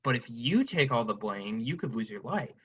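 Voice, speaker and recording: A man's voice: mid-range voice, expressive, normal speed, breathy edge. A man playing a pragmatic, loyal friend and reluctant accomplice in a staged reading with dull sound. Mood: scheming.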